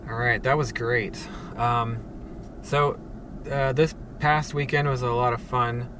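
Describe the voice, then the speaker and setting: A man hosting a casual solo podcast from his parked car, speaking in a monotone with many halting fillers and restarts. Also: medium pitch, far from the mic, noisy background. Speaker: podcast host. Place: sitting alone in a parked car.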